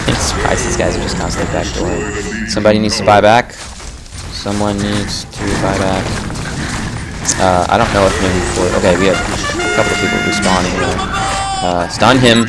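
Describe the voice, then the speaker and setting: A man's voice: low voice, gravelly. A man giving casual, rambling live commentary over his own match, often correcting himself and addressing viewers as guys. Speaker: gameplay commentator. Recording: loud game music behind voice.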